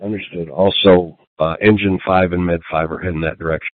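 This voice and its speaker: Deep voiced guy doing emergency radio dispatch, tinny phone-like channel, procedural, repeats stuff back. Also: fairly even intonation, fairly quick, no room sound. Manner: procedural, reads messages back. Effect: two-way radio. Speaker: emergency medical group dispatcher. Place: command post.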